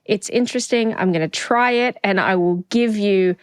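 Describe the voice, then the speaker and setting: A middle-aged woman co-hosting a podcast, telling stories in a relaxed, casual chat. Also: mid-range voice, clear, resonant tone, dry recording, clean studio sound. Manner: unhurried, storytelling. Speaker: podcast co-host. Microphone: podcast microphone.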